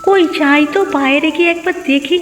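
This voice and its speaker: A woman voicing a nagging but caring village housewife and mother in a children's cartoon, fussy and expressive. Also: high-pitched, fairly quick, in a large hall, slight background noise, lightly acted. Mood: surprised, fussy. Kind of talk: children's moral story cartoon dubbing.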